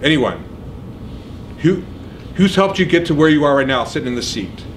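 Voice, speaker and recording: A middle-aged man giving an energetic orientation speech in a university lecture room, often checking in with "okay?" and "right?". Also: fairly high pitch, normal speed, background noise. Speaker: program administrator.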